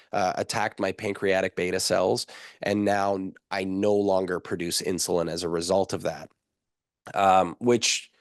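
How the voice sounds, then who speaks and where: A young man with a fairly low voice talks casually as a podcast guest. He explains things quickly and loosely, full of fillers and asides. The recording is dry and close. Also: dry recording. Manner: conversational, explanatory, fast-talking with fillers. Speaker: guest, nutritionist.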